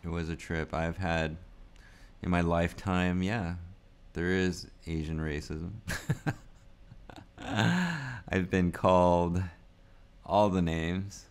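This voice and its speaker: A man's voice: deep voice, slow, pauses often, smooth. A man in his forties, born in the United States, musing aloud in a rambling, unscripted vlog and laughing often. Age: 40s. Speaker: vlogger. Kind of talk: casual unscripted vlog talk.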